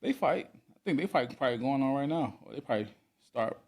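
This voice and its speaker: A man's voice: fast talker, slightly rough. A man co-hosting a boxing podcast in casual, joking chat. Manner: joking, lively, drops into a deep put-on voice. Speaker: podcast co-host.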